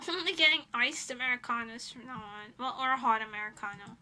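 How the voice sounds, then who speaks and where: A woman from Europe chatting casually on a livestream in an animated, dramatic manner. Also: on the high side, fairly quick. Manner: animated, dramatic exaggeration, swearing. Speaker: livestreamer, VTuber.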